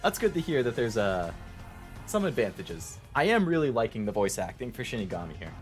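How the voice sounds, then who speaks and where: A man's voice: medium pitch, big pitch swings, brisk. A man giving casual, conversational commentary as he streams a video game, musing as he goes. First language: English. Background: game music and dialogue.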